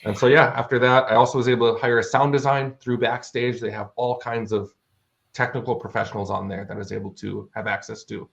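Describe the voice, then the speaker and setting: A man's voice: mid-range voice, steady tone, fairly quick, creaky, a bit bright. A man chatting casually as an interview guest, telling anecdotes in a conversational way. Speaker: guest author and audio drama producer. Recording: dull, lo-fi audio.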